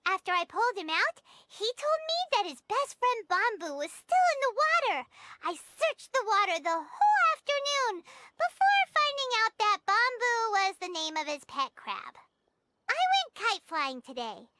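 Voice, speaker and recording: A woman voicing a small, chirpy, childlike fairy companion in a video game, squeaky and eager. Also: sing-song, normal speed, noisy background. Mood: reading aloud. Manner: bubbly, exclaiming, thinking aloud. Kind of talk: English video game voice acting.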